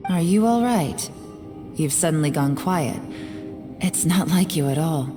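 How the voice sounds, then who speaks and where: A woman playing a secretive, composed young investigator in a video game, speaking in a measured, serious way. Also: low-pitched, lively intonation. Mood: resolute, concerned. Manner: measured, serious, deliberate delivery. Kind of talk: dramatic video game voice acting. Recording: long reverberant hall sound. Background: faint background music.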